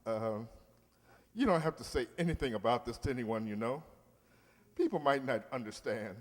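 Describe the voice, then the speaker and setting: A man's voice: mid-range voice, moderate pace, close to an everyday voice. A man playing a pompous, sentimental middle-aged uncle in love, with a theatrical, hesitant, blustering delivery in old-time radio comedy style. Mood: sheepish, fond. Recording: echoey hall with long reverb.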